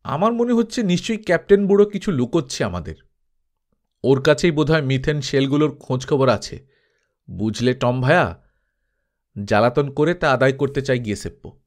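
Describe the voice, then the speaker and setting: A man's voice: medium pitch, lively intonation, moderate pace, long pauses, lightly acted. A man voicing a brash, hot-tempered male adventurer, grumbling and defiant, in a dramatised audiobook. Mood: suspicious.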